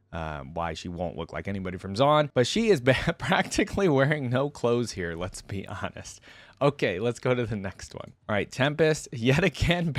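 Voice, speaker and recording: A man's voice: lowish voice, no echo. A man giving casual, informal reaction commentary with gamer slang, hosting for viewers. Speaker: host reacting to game content.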